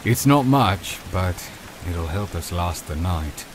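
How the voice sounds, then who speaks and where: A man's voice: low-pitched, lively intonation, slow pace, slight background noise. A man voicing a modest, earnest young male healer in a visual novel, mild and formal in his phrasing. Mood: apologetic, earnest. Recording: loud music and rain behind. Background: game music and rainfall.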